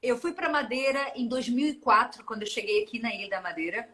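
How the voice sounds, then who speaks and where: A woman's voice: a little high, expressive, fast talker, sharp tone. A woman in her forties with a Minas Gerais Brazilian accent, a swimmer chatting as an interview guest, rambling with restarts and laughing often. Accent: Minas Gerais Brazilian Portuguese. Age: 40s. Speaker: guest, open-water ultramarathon swimmer. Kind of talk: casual interview chat.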